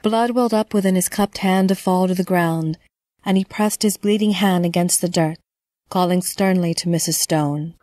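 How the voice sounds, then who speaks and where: A woman narrating a scripted horror story in a measured, dramatic storytelling manner. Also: lowish voice, darkish tone, dry recording.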